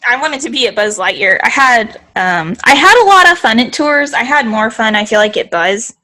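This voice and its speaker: Young woman, nasal, a bit congested, bright tone, slightly high, rambling casual chat. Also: lively intonation, moderate pace. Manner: rambling, self-interrupting. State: congested from allergies. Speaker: podcast co-host.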